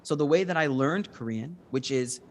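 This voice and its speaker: A man's voice: on the high side, normal speed. A man answering judges' questions as a start-up presenter, speaking in an explanatory, assured manner. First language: English. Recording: long hall reverb.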